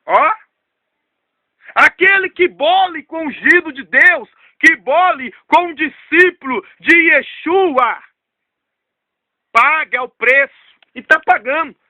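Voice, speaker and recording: A man's voice: very high-pitched, expressive, takes it slowly, long pauses, bright, forceful tone, no room sound, dull audio. A man, preaching an emphatic, repetitive testimony sermon. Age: 30s. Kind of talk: fervent storytelling sermon.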